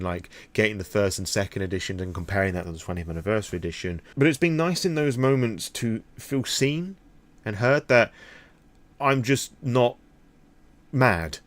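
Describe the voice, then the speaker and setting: A man's voice: on the low side, normal speed. A British man rambling candidly through an impromptu vlog, opinionated and freely swearing. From Britain. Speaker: vlogger.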